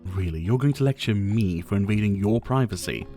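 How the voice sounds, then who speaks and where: A man's voice: fairly low pitch, expressive, brisk, dark tone, faint music behind. A man playing a possessive, flirtatious and condescending rich dictator in an intimate ASMR roleplay, doting on the listener with pet names. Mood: cool, challenging. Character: possessive, teasing male yandere dictator, rich and menacing.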